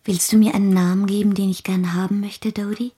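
A woman plays a childlike young wife in a radio drama. Her voice is mellow and airy, often close to a whisper. She pleads tenderly and naively, like a girl. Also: medium pitch, flat delivery, fairly quick, lightly acted. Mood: tender, wistful. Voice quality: breathy, dropping into whispers. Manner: soft, girlish pleading. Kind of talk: German radio play acting.

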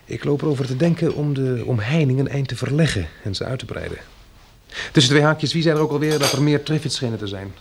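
Actor doing practical survivor guy in a radio play, creaky voice, dry n wry, measured delivery. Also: medium pitch, a little hiss or hum, close to an everyday voice. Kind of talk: Dutch radio play acting.

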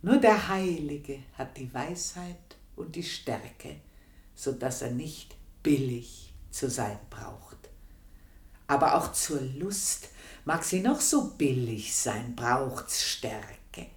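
A sly old maidservant, low and slow. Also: female voice, expressive, slightly rough, full character voice. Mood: hushed confiding. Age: older. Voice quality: often drops to a hushed whisper. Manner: gossiping, mocking, confiding. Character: aging country maidservant, sly, scornful, knowing. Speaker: maidservant narrating her story. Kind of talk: radio play monologue.

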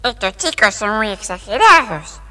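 Sorry little duckling for a kids record, sharp bright voice, big reverb. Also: female voice, medium pitch, sing-song, moderate pace. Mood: put-upon, resigned. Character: young ugly duckling, plaintive and put-upon. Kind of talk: audio storybook acting. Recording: long reverberant hall sound.

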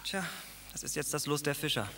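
A man's voice: fairly high pitch, a little fast, gravelly, sharp tone, big-room sound. An actor playing a poor young fisherman, earnest and stammering when unsure. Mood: wry. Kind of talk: radio drama acting.

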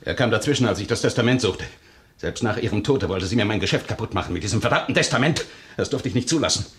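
Radio crime play villain, male actor: cornered, embittered murderous businessman, lowish pitch, rapid delivery. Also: lively intonation, natural voice. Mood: bitter confessing. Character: cornered, bitter male estate agent turned murderer. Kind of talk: 1970s German radio crime drama acting.

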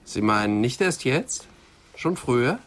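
Ein Schauspieler spielt in einem deutschen Krimihörspiel einen aufmerksamen, schnell kombinierenden Kommissar mit höflicher Art. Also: male voice, mid-range voice, sing-song, unhurried, a bit bright. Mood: alert. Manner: alert, deductive, courteous. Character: attentive, quick-witted male police detective. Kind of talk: German radio crime drama acting.

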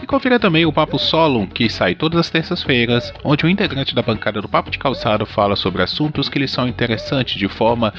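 A man's voice: medium pitch, expressive, a little fast, few pauses, fairly bright tone. A man announcing and narrating in a theatrical radio soap opera style as podcast host. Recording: dull lo-fi audio with music.

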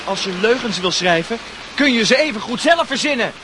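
Very high-voiced, accusing schoolboy. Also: male voice, lively intonation, a little fast, noisy background. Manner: accusing, needling. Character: jealous, combative male school friend. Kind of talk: Dutch radio drama acting.

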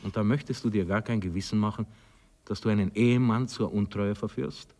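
An actor playing a pompous, evasive married bourgeois man in a radio drama, hesitant and moralising, with a husky voice. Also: lowish voice, brisk, warm tone, big-room sound, close to an everyday voice. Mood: indignant. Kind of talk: 1960s German radio drama acting.

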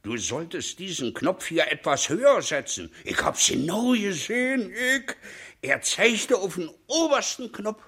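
A man's voice: high voice, sing-song, unhurried, bright, forceful tone, husky, heavily acted. An actor playing a cocky, coarse, slangy street fellow in a comic radio drama, brash and colloquial, stumbling as he starts sentences.

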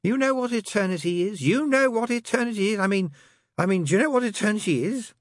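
A man reading aloud and voicing a sly male demon who is tipsy and keeps pressing his point in a slow, wheedling way. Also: high voice, lively intonation, no room sound, clean studio sound, voice pushed up. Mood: relentless. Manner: wheedling, insistent, drawn-out.

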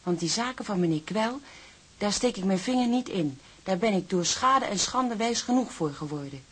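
Caring, husky-voiced sailor's wife. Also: female voice, mid-range voice, animated, normal speed. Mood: dismayed. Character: caring young sailor's wife, supportive, anxious, practical. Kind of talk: radio drama acting.

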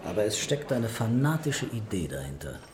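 An actor playing a measured, terse police commissioner leading a murder inquiry in a German radio crime drama. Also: male voice, lowish voice, big pitch swings, darkish tone, slight background noise. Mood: pensive.

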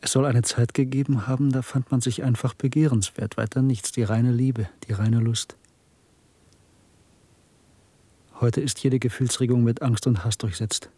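A man acting in a German radio drama, playing a brooding, bitter party clerk who is secretly a rebel, speaking in an intense, deliberate way. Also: mid-range voice, fairly even intonation, mellow, breathy edge, no echo, lightly acted. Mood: fervent, bitter.

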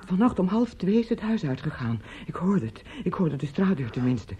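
An actress in a Dutch radio play playing an anguished, talkative female relative who breaks terrible news, theatrical, halting and pleading. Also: fairly low pitch, sing-song, warm tone. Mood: grave, distressed. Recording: muffled, noisy old radio play recording.

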